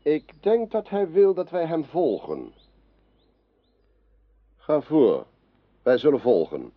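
An actor playing an earnest, courteous noble king in a radio drama, with a husky, gravelly voice. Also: a little high, wildly swooping pitch, takes it slowly, full character voice. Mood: commanding. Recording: dull, lo-fi radio play recording.

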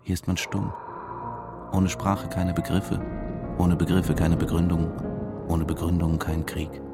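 An actor playing a detached, controlled diving instructor who narrates reflectively in a radio drama. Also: male voice, low voice, monotone, a little slow, loud music behind the voice.

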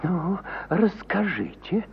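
Garrulous provincial judge, easily amazed, slow drawn-out delivery, husky, vintage muffled radio drama sound. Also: male voice, very animated, a little hiss or hum, exaggerated voice. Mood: astonished. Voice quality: husky, high-pitched. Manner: rambling, drawn-out, swooping exclamations. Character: garrulous, hospitable old-time provincial judge, easily astonished. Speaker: actor in an audio play. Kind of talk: 1950s Soviet radio theatre acting. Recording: muffled 1950 radio play recording.

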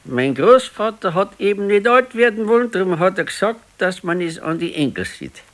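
A Bavarian man in his sixties, wistful and quietly stubborn. His voice sits a little high and moves in wide, lively swings. He muses in short, plain colloquial phrases, as in a naturalistic radio play about an ailing old husband. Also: normal speed. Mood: weary, reflective.

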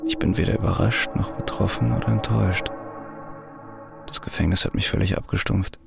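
A man's voice: deep voice, fairly even intonation, fairly quick, gravelly, over music. An actor playing a numbed, embittered male prisoner in a radio drama, talking in a wry, detached way. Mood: bitter. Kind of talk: radio drama acting with inner monologue.

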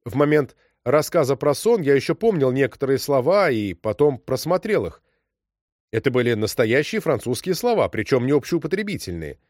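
A man narrates a string of short first-person stories in a calm, even read. His voice sits at an ordinary middle pitch. The sound is dry and close, with no room around it. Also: moderate pace, dry recording. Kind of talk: scripted narration.

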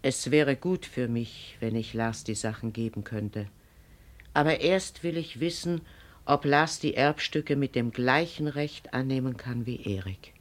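An actress playing a proud, grave older farm mistress in a German radio play, speaking earnestly and deliberately, with quiet command. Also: female voice, rumbling low. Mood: grave, resolute. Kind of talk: 1950s German radio drama acting.

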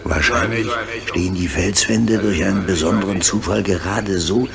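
A man playing a calm, reassuring locomotive driver on an adventure in a children's radio play. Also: deep voice, moderate pace, vocal fry, a loud crowd. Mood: reassuring, musing. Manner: steady, matter-of-fact, reassuring. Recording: noisy radio play with chirping ambience.

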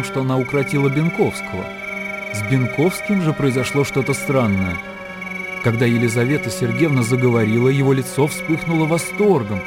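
A man reading scripted literary narration as the narrator of a radio drama. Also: medium pitch.

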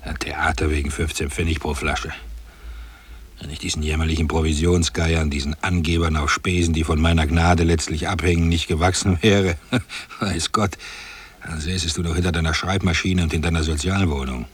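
An actor playing a smug, bullying middle-class husband in a radio play, with a sneering, condescending, grumbling delivery. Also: male voice, extremely low pitch, steady tone, normal speed, husky. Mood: irritated, boastful.

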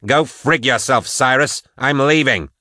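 Man acting young woman, audiobook heroine, hot-tempered, defiant, sharp, insistent, raised pitch, dry recording. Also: a little slow, no echo. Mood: furious, defiant.

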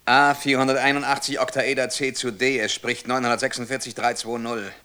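An actor playing a servile, easily flustered state telephone clerk in a radio play, stammering and officious, quick to turn from indignation to obsequiousness. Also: male voice, medium pitch, little pitch movement, sharp tone, smooth, faint music behind, lightly acted. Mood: officious, muddled. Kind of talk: German radio play acting.

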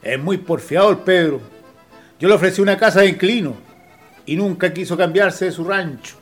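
Man playing a highish-voiced Chilean farm boss. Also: normal speed, faint music behind. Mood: resigned. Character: resigned, kindly farm boss, an older landowner. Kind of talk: radio drama acting.

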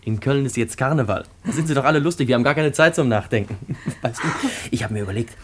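Actor doing a young guy in love, talks fast, eager and reassuring, old radio play with faint noise. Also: medium pitch, lightly acted. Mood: tender, excited. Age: young adult. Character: eager, reassuring young lover planning escape. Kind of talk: naturalistic 1950s German radio drama.

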